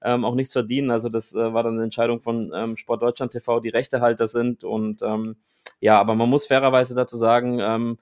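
Man hosting a podcast, steady and explanatory. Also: mid-range voice, clean tone. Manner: explanatory, with frequent filler pauses. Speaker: podcast host. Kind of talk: podcast conversation.

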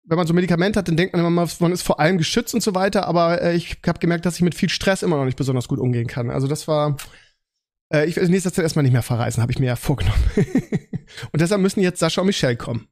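A man hosting a podcast in casual chat, rambling along and often halting to search for words and correct himself. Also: fairly high pitch, darkish tone. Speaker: podcast host.

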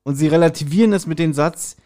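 Man, halting casual podcast talker. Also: fairly high pitch, warm tone. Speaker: podcast co-host.

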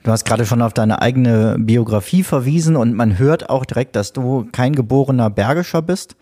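A man hosting a parish podcast, talking in a chatty, relaxed way with friendly asides. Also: medium pitch, moderate pace. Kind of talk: casual podcast interview.

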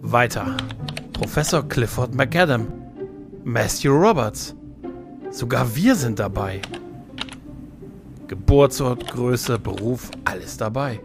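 Man acting a wry, unhurried sidekick with music behind. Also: medium pitch. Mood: curious, joking. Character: sarcastic, flippant male sidekick in a sci-fi mystery. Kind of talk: German audio drama acting. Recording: music under the dialogue.

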